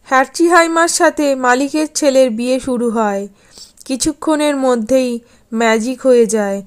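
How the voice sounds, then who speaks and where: A woman's voice: high-pitched, moderate pace. A woman narrator reading a scripted fairy tale for a cartoon.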